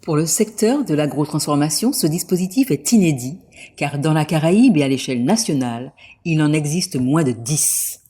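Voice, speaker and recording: A woman reading a scripted report narration in a measured, informative way. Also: deep voice, expressive, dark tone, breathy edge.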